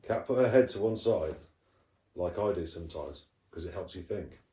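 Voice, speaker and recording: A man's voice: low voice, expressive, moderate pace, warm tone. A man reading a storybook aloud as a teacher would to pupils, expressively and with the odd stumble. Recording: roomy echo, distant from the mic.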